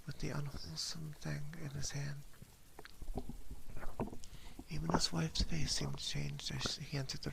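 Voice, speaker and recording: A man reading a story aloud in a breathy, partly whispered ASMR style, very close to a binaural microphone. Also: a little high. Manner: hushed, slips and corrects words while reading. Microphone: close binaural ear microphone. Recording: close binaural mic with clear background noise. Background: rain ambience.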